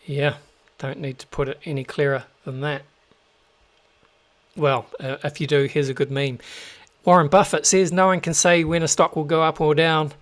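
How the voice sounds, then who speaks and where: A man's voice: on the high side, flat delivery, normal speed. A man with a New Zealand accent hosting a show, talking casually to listeners with lots of fillers and restarts. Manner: chatty, many fillers and restarts. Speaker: host.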